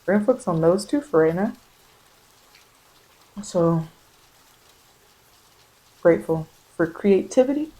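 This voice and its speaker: A woman's voice: on the low side, animated, measured pace, pauses often, mellow, slight background noise, rough recording. A woman giving an informal, encouraging tarot reading in ASMR style, speaking colloquially and repeating phrases for emphasis. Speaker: tarot card reader and host. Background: bird and rain sounds.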